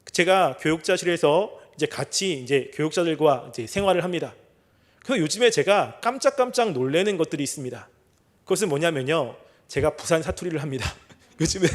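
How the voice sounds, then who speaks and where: High-voiced man preaching earnestly. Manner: earnest preaching with rhetorical questions, reads scripture slowly. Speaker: pastor. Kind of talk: sermon. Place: church sanctuary.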